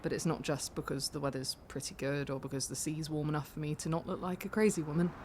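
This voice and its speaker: A woman's voice: low-pitched, steady tone, darkish tone, close to an everyday voice. Confiding young woman played by an actress, noisy surroundings. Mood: nervous, confiding. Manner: confiding, halting, self-deprecating. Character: candid, vulnerable young woman passenger opening up to driver. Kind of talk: audio drama dialogue.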